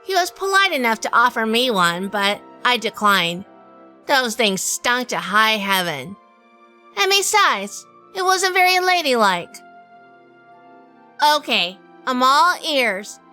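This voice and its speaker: A woman's voice: fairly high pitch, very animated, slow, pushed and bright, soft background music, full character voice. A woman playing a sassy, composed businesswoman in a noir audio drama, wry and unflappable.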